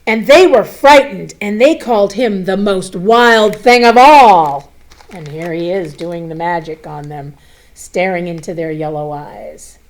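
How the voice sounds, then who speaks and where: A woman reading a picture book aloud to children, expressive, with chatty asides, questions and simple explanations. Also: lowish voice, a little slow, low-quality recording.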